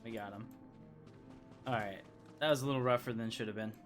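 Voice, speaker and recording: Guy streaming a video game, just thinking out loud, medium pitch voice, music pretty clear behind him. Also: fairly quick. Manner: informal, thinking aloud. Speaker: gaming streamer. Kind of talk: casual gameplay commentary.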